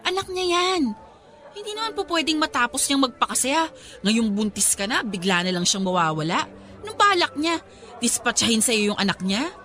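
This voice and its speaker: A woman's voice: on the high side, very animated, moderate pace, bright, forceful tone. A woman playing a protective, outspoken young best friend, emphatic and scolding, with a Filipino accent in a Tagalog radio drama. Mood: indignant.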